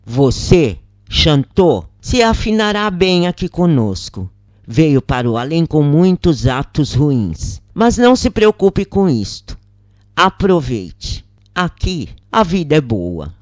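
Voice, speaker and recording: A narrator voicing a boastful male boss of a dark spirit realm, commanding and emphatic, in a story reading. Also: mid-range voice, sing-song, slow, dry recording, clean studio sound. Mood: smug welcome.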